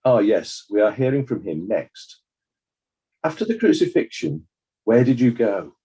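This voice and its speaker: A man playing a courteous, formal examiner putting measured questions to witnesses in a dramatized inquest. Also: mid-range voice, very animated, warm tone, breathy edge.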